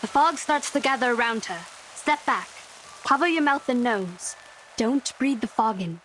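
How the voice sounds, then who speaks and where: Fairly high, composed, commanding adventurer. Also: female voice, animated, moderate pace, a bit bright, slight background noise, poor audio. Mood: alert, commanding. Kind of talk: video game voice acting.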